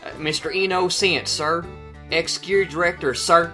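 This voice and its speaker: A man's voice: fairly high pitch, steady tone, normal speed, bright, forceful tone, faint music behind. A man voicing a nervous male guard testifying in court, anxiously calling everyone "sir". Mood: jittery. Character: nervous male guard witness, jumpy and eager to please.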